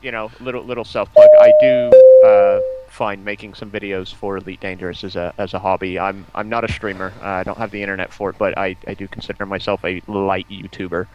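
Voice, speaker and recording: A man's voice: low voice, sing-song, a little fast, hardly any pauses, highs cut off. A man chatting casually as a stream guest, with a cheeky, bantering manner. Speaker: stream guest, hobby video maker. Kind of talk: casual chat. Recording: clearly noisy home voice-chat line.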